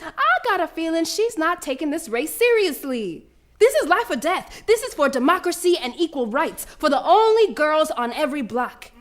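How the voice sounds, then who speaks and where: A woman's voice: sits high, sing-song, moderate pace. A woman playing a fierce, proud young girl runner, telling her story as a brash, streetwise dramatic monologue. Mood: defiant, excited. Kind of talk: dramatic first-person monologue.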